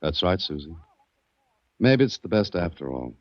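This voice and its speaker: An actor playing a calm, world-weary adventurer in a 1940s radio drama, with an understated, hard-boiled manner. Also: male voice, deep voice, moderate pace, no echo. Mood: reflective. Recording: muffled old radio recording.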